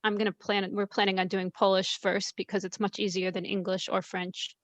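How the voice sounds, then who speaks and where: A woman chatting on a video call as a parent, in a relaxed, chatty way. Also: medium pitch, fairly quick, dry recording. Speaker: participant parent. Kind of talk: casual video call chat. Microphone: laptop video call.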